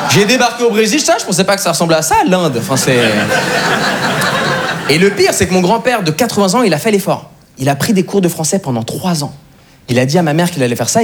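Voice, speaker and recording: A young man from Switzerland telling self-mocking stories as an animated, conversational stand-up comedian on a live stage. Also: on the high side, noisy background. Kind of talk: stand-up storytelling to a live audience.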